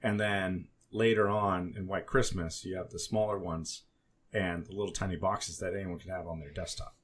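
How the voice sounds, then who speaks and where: A man co-hosting a podcast, casually discussing television in halting phrases with repeated words. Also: sits low, fairly even intonation, a bit bright, slight background noise. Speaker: podcast co-host.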